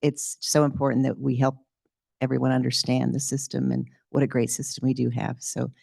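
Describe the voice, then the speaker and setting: A woman's voice: rumbling low, level tone, fairly quick, vocal fry, a little mellow, dry recording, clean studio sound. A woman moderator wrapping up with gracious, thankful closing remarks.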